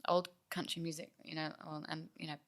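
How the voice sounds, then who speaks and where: A woman's voice: low-pitched, level tone, a little fast, fairly bright tone. A young woman musician from England chatting casually in an interview, with lots of fillers and restarts. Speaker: musician guest.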